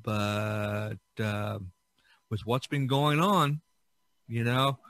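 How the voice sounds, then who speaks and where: A man in his sixties speaks as a podcast host in a dry-sounding recording. His pitch is average for a man, and he offers advice casually, rambling along with hesitations and little asides. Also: very slow, fairly bright tone, dry recording. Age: 60s. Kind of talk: casual advisory monologue.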